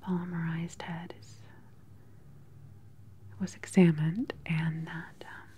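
A woman in her thirties whispering gently and methodically in an ASMR roleplay as a calm research doctor questioning a patient, close to the microphone. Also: fairly low pitch. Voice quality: breathy, unvoiced whisper.

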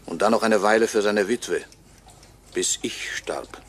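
An actor playing a wry, resigned murdered clock repairer who narrates in measured, sardonic phrases in a 1950s radio play. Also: male voice, on the low side, close to an everyday voice. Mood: brooding, bitter. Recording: faintly noisy 1958 radio recording.

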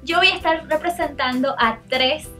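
A woman's voice: sits high, moderate pace, sharp tone, faint music behind. A young woman from Argentina hosting a casual group chat, laughing often in a bubbly way. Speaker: channel host. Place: indoor studio with makeup shelves.

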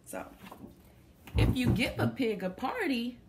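A woman's voice: a little high, big pitch swings, unhurried, background noise. A young adult woman reading a picture book aloud to children, stopping to put questions to her young listeners. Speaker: children's story reader. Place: small plain room indoors.

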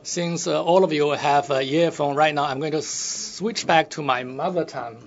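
A man interpreting a delegate's speech live, halting with frequent fillers. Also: a little high. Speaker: simultaneous interpreter. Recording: dull lo-fi feed.

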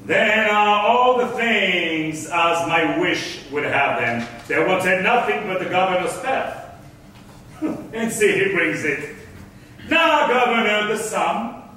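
An actor playing a scheming, gloating villain in a theatrical staged verse reading. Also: male voice, high-pitched, expressive, slow pace, sharp tone, exaggerated voice. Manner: theatrical, declamatory. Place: large indoor theatre stage. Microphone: far camera. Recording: distant echoey theatre stage, faint noise.

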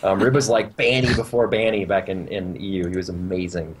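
A man's voice: low voice, steady tone, fairly quick, noisy background. A young man with a North American accent, co-hosting a casual gaming talk show in an informal, rambling way. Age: young adult. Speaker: podcast co-host. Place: indoor room at home, video call.

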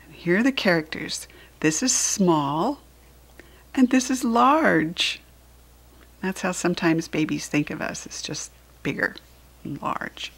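An American woman reads a picture book aloud for little children. Her delivery is slow and deliberate, with lively, expressive swings in pitch. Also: breathy edge. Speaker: children's storybook reader and host. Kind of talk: read-aloud storytelling for young children.

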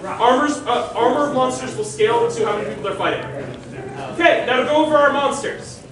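A man with a very high, projecting voice gives informal instructions to a group as an event organizer. He is recorded from a distance in a heavily echoing open shelter, with a crowd clearly audible around him. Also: brisk. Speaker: organizer of a live-action roleplay event. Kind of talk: loud rules briefing to a group. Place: open-sided concrete park pavilion. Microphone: far camera.

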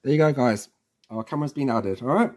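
A man's voice: mid-range voice, fast, darkish tone. A man presenting a casual instructional walkthrough in an informal, chatty way, often checking in with the listener. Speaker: tutorial presenter.

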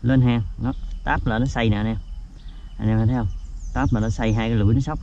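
A man commenting casually while fishing at a rural pond, calling out excitedly to his viewers. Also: mid-range voice, fast, highs cut off, slight background noise. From Vietnam. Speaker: fishing vlogger. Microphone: head-mounted action camera.

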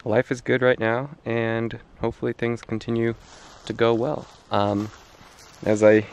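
A man of thirty chatting casually and haltingly to a handheld camera while walking outdoors on a trail, in an easygoing way. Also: mid-range voice, monotone. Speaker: vlogger. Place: outdoors on a wooded canyon trail. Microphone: handheld camera at arm's length. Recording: clear outdoor background noise on trail.

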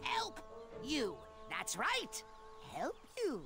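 A man's voice: very high voice, wildly swooping pitch, drawn-out words, vocal fry, over music, heavily acted. A man voicing a short, scheming evil overlord in a video game, pompous and melodramatic. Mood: grudging, theatrical.

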